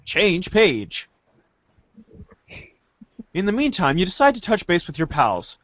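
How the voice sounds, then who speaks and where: A man reading a story aloud in a playful, theatrical way, as an animated second-person narrator. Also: sits high, takes it slowly, no echo, dull audio.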